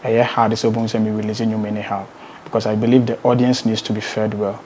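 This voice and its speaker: A man's voice: lowish voice, little pitch movement, fast talker, darkish tone, a little hiss or hum, low-quality recording. A man with a Ghanaian accent speaking as a musician being interviewed, emphatically, repeating words and posing rhetorical questions. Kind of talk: conversational interview.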